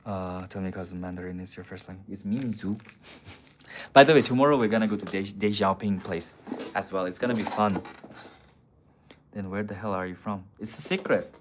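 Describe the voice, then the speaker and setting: A man's voice: deep voice, fairly even intonation, normal speed, slight background noise. A man on a casual live stream, answering viewer comments in a blunt, profane, argumentative way. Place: city streets outdoors.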